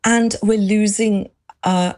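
A woman's voice: mid-range voice, fairly even intonation, slow pace, dark tone. Chatty middle-aged woman. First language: Urdu. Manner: chatty, with many fillers and restarts. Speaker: guest, exhibition creator. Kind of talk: radio interview.

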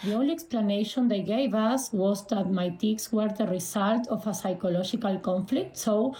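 A woman in her forties from Argentina, answering interview questions in a halting way, with frequent pauses and restarts. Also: mid-range voice, warm tone, roomy sound. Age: 40s. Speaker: guest.

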